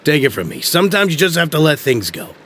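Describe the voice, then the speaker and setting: An actor playing a weary, resigned businessman in a video game, gravelly and apologetically dismissive. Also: fairly high pitch, very animated, quick pace, a bit bright, slight background noise. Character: weary, resigned businessman, a male investor backing out. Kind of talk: video game cutscene acting.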